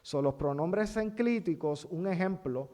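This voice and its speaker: A man with a Puerto Rican accent giving a university lecture in an amphitheater, pausing often with fillers as he spells things out. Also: sits high, lively intonation, warm tone. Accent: Puerto Rican Spanish. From Puerto Rico. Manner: halting, many fillers, spells things out slowly.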